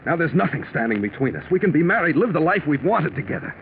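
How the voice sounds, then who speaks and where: A man's voice: fairly high pitch, very animated, quick pace, phone-quality sound. Anxious lovestruck businessman, crackly old radio. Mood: bitter, urgent. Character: Bert, shaken businessman in love with his partner's wife. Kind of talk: 1940s radio mystery acting. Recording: scratchy band-limited 1940s radio recording.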